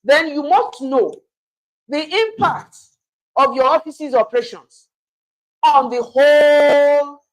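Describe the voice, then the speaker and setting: Middle-aged woman, workshop speaker; loud, measured delivery, unhurried, slightly high voice. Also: low-quality recording. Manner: loud, deliberate lecturing. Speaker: university registry administrator giving a workshop talk. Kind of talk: workshop lecture.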